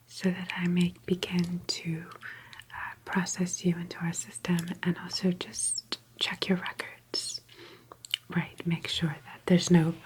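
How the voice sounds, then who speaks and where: A woman playing a meticulous, prim sci-fi office agent in an ASMR roleplay, whispering breathily in an unhurried, hesitant, officious but polite manner. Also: lowish voice, darkish tone, a little hiss or hum, close to an everyday voice. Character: slow, meticulous, prim female time-travel office agent.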